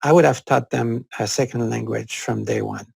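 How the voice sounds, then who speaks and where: Gravelly man who grew up in France. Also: mid-range voice, steady tone, moderate pace, darkish tone, no echo. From France (Corsica). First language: French. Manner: thoughtful, hesitant with many fillers. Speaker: co-host. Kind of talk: conversational discussion.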